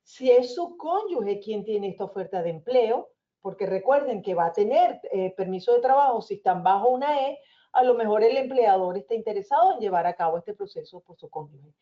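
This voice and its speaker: A woman with a Venezuelan accent, an immigration lawyer explaining visa options in a webinar in a calm, reassuring manner. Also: medium pitch, fairly quick, lo-fi sound. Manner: reassuring, explanatory, addresses listeners as señores.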